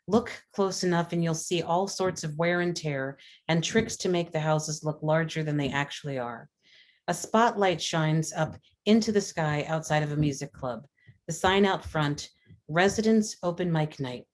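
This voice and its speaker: A woman's voice: on the low side, a little slow, darkish tone, slight background noise. A woman reading scripted stage directions aloud at a script table read. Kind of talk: scripted narration of screenplay action.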